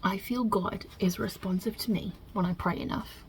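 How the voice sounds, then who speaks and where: A woman voicing God as a wry, touchy Italian mob boss in an ASMR roleplay, with a husky, breathy voice and a put-on Italian-American New York accent. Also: mid-range voice, steady tone, moderate pace, a little hiss or hum, natural voice, low-quality recording. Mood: reading out questions. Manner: casual, wry, chatty, with softly murmured asides.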